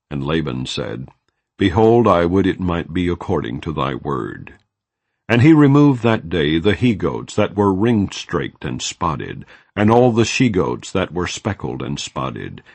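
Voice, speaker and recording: A man reading scripture aloud in a measured, solemn way. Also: low voice, dry recording. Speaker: Bible reader.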